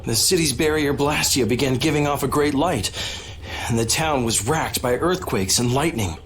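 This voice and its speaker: A man's voice: medium pitch, moderate pace, a bit bright, a little hiss or hum, natural voice. A man playing a haughty, indignant aristocratic attendant in a video game, with a stiff, pompous manner. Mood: indignant, defensive. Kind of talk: English video game dub.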